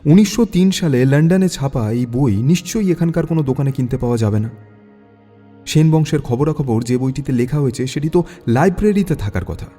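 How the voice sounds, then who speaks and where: This is a man acting as a thoughtful young detective in an audio drama. His voice is mid-pitched and warm, with measured, inquisitive phrasing as he reasons aloud. Faint music plays underneath. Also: expressive, lightly acted. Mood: pensive.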